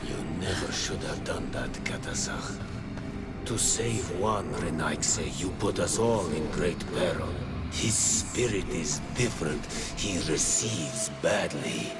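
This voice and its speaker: A grave, ominous mystic speaks very slowly and portentously in a fairly low voice. Loud music plays behind this fantasy game dialogue. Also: male voice, lively intonation, exaggerated voice. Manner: portentous, deliberate. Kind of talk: fantasy video game cutscene acting.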